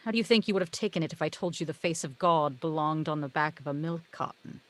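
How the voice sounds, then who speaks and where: A woman's voice: low voice, fast talker, lightly acted. A woman reading the part of a wry, knowing, articulate heavenly muse in a film script read-through. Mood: exasperated, dry.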